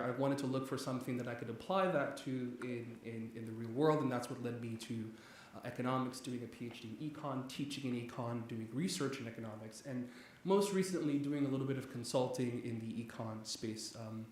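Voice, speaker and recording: A man from Nigeria who teaches economics, giving an eager, talkative guest lecture with many fillers and restarts. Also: mid-range voice. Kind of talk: public lecture. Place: large echoey church hall. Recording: very echoey hall, faint background noise.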